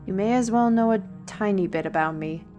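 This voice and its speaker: A woman's voice: medium pitch, a little fast, warm tone, soft background music, lightly acted. A woman playing a wry, weary fantasy artist-steward, talking directly to the listener in a scripted audio roleplay. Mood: guarded. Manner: wry, sighing, conversational, addressing the listener.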